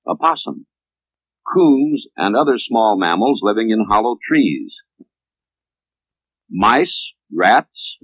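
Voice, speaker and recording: A man's voice: fairly low pitch, no room sound. Tinny 1950s American male film narrator. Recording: tinny, band-limited 1950s film soundtrack.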